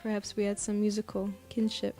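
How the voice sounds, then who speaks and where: An American woman speaking casually in an interview as a singer-songwriter, thoughtful and halting, often pausing mid-phrase. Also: mid-range voice, completely flat delivery, warm tone, soft background music. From United States. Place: apartment.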